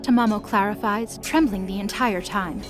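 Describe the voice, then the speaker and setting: A woman's voice: mid-range voice, normal speed, music in the background. A woman reading scripted narration for a dramatic mythological story.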